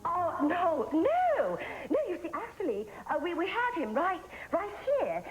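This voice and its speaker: An actress playing a playful, scheming secretary in a television soap opera, talking in halting, theatrical patter. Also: female voice, fairly low pitch, lively intonation, over loud music, lightly acted, rough recording. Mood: flustered, cheery. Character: scheming, playful woman, a secretary improvising phone ruses.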